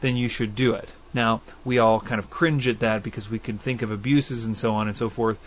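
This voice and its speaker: A man hosts a faith-based call-in style radio program and works through listener questions in a relaxed teaching voice. He is earnest and thoughtful. He weighs his points as he goes and lays them out plainly. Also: lowish voice. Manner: earnest and thoughtful, spells out addresses slowly. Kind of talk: casual explanatory Bible teaching.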